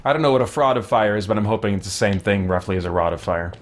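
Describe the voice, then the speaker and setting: A man's voice: on the low side, fairly even intonation, quick pace, slight background noise. Thoughtful man chatting as he plays. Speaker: host of a roleplay game playthrough. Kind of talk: casual, rambling gaming commentary.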